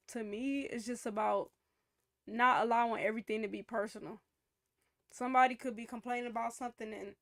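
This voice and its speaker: A woman's voice: fairly high pitch, gravelly. A young woman streamer reacting to a video, talking in a reflective, chatty way about life and faith. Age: young adult. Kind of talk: casual reaction commentary.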